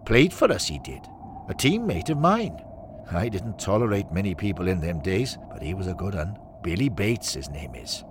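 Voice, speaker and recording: A man's voice: deep voice, big pitch swings, moderate pace, noisy background. A man voicing an earnest, no-nonsense old Welsh ex-footballer with a Welsh accent in an audio story. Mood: reassuring. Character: stern, loyal old Welsh former footballer.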